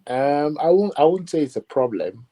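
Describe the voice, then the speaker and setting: A man being interviewed as a musician, talking in a measured, reflective way with many "you know" asides. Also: fairly high pitch, lively intonation, warm tone. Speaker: musician guest. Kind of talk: interview conversation.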